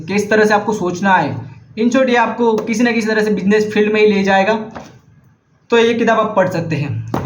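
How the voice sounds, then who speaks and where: A man's voice: sits high, a little hiss or hum. A young man from Gujarat with a Gujarati accent, whose first language is Gujarati, casually recommending books in a vlog, hesitant and earnest, often restarting his sentences. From Gujarat, India. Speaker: vlogger. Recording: echoey, slightly muffled room recording.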